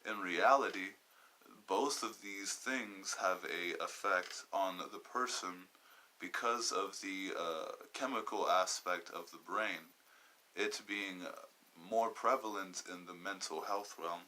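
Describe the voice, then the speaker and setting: A young man in his twenties from Southern California with a low voice and a very bright, tense edge. He talks through a personal podcast monologue in a halting, wandering way, often stopping to search for the next word. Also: rough recording. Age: 20s. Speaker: podcast host.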